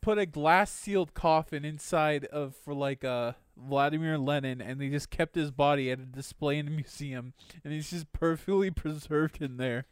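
The playful one at a buddy podcast, a young man with a smooth, clean voice given to mock drama. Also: on the high side, normal speed, few pauses, dry recording, clean studio sound. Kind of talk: casual chat, riffing with friends.

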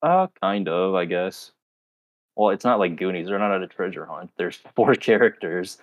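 Low-key young man with a flat delivery. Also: normal speed. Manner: low-key and flat, self-described monotone. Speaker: podcast co-host. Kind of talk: casual chat.

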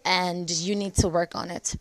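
Woman with a flu-cracked voice. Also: fairly low pitch. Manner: chatty, filler-heavy, with many "like"s. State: slightly cracked voice from flu and a dry cough. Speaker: vlogger. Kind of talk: casual advice talk to camera.

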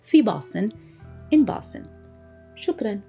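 A woman teaching a language lesson, explaining and slowly repeating phrases for learners to copy. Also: medium pitch, sing-song, creaky, mellow, faint music behind. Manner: slow, deliberate repetition of phrases for learners; switches between explaining and modelling phrases.

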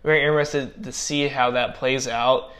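Young male commentator, mid-pitch, voice going hoarse, halting and full of fillers, casual draft breakdown. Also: steady tone, fairly bright tone. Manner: halting, many fillers and restarts. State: losing his voice. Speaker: football draft analyst. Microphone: personal microphone.

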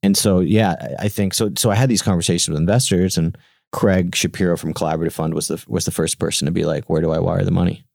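A deep-voiced man in his thirties, a founder telling his story in a casual interview, and Gujarati was his first language. He stumbles and restarts often, then lifts his voice when quoting others. The sound is close and dry. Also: gravelly, no room sound. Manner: halting, many restarts and fillers, animated quoting. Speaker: startup founder, guest.

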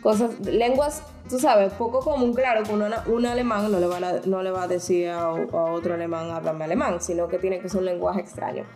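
A woman co-hosting a podcast talks frankly and at length, explaining things with frequent restarts. Her voice is mellow and a little dark. The room is echoey, with faint music. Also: medium pitch, brisk, hardly any pauses. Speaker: podcast co-host. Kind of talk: casual chat.

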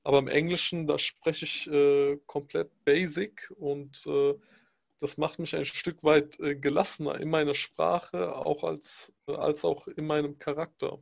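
A man whose first language is Pashto, speaking in a measured, reflective way with frequent hesitations in a casual discussion. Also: fairly high pitch, flat delivery, vocal fry. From Afghanistan.

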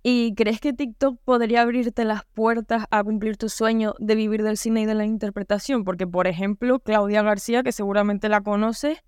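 A woman's voice: medium pitch, fairly even intonation, normal speed, few pauses, darkish tone, clear, resonant tone, no echo, clean studio sound. A young woman with a Canarian accent, hosting a casual podcast interview in a friendly, agreeable way with casual slang. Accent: Canarian Spanish. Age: young adult. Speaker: podcast host.

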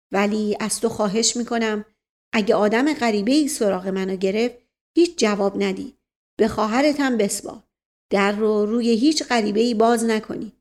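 A woman's voice: on the high side, a little mellow, natural voice. A woman voicing a grave, agitated older man, an imperious uncle in a story, with dramatic delivery.

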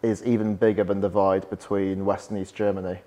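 A young man from West Yorkshire with a softened Yorkshire accent, chatting as a podcast guest and telling stories with plenty of "like" fillers. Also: low voice, flat delivery, brisk. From West Yorkshire, England. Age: young adult. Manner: chatty, storytelling, frequent "like" fillers. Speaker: podcast guest, startup founder. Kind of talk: casual interview chat.

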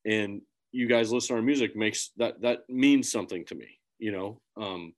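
A man's voice: lowish voice, normal speed. A man from central Illinois, a musician guest talking in an earnest, rambling way with many restarts. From central Illinois, USA. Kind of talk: casual interview chat.

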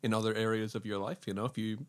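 A man's voice: lowish voice, little pitch movement, fast talker, smooth. A man from Northern Ireland with a mild Northern Irish accent tinged with American, chatting as a podcast guest in a rambling, self-mocking way. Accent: mild Northern Irish with American influence. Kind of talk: casual interview chat.

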